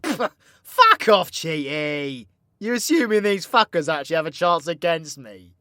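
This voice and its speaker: A man's voice: on the high side, sing-song, a little slow, sharp tone, no echo, clean studio sound, exaggerated voice. A British man playing a cocky, foul-mouthed warlock villain in an audio roleplay, with a brash, theatrical manner and crude slang. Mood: mocking, exasperated.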